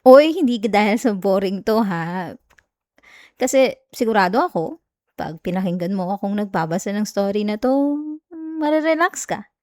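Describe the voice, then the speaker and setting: Woman acting as a sweet clingy young filipino wife, soft coaxing asmr bedtime voice, super close and dry. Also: medium pitch, expressive, moderate pace, pauses often, no room sound, close to an everyday voice. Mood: playful, coaxing. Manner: soft, giggly, affectionate, coaxing. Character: sweet, clingy, teasing young wife soothing her partner. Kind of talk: intimate ASMR roleplay, bedtime chat and story reading. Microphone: close microphone, dry sound.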